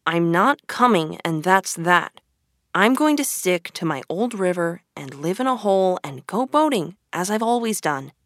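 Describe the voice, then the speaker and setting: A woman's voice: lowish voice, expressive, unhurried, fairly bright tone, no room sound, clean studio sound. A woman voicing a stubborn, sensible male water rat, speaking doggedly and firmly. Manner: dogged, firm.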